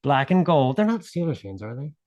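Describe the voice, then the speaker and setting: A man co-hosting a podcast, talking in a relaxed way with lots of fillers and wry asides. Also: medium pitch, brisk, dull audio. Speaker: podcast co-host. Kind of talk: casual podcast chat.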